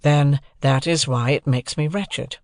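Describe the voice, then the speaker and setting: A woman voicing an earnest, wretched young gentleman in love in an audiobook, pleading and insistent. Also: low-pitched, unhurried, dry recording, studio quality.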